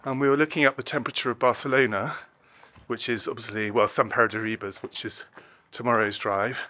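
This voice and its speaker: A man's voice: medium pitch, fairly even intonation, fairly quick, bright, forceful tone, no room sound. A man in his forties chatting casually to the camera as a travel vlogger, walking outdoors with a handheld device. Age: 40s. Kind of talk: casual vlog commentary to camera. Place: walking outdoors in narrow night streets. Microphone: phone or camera at arm's length.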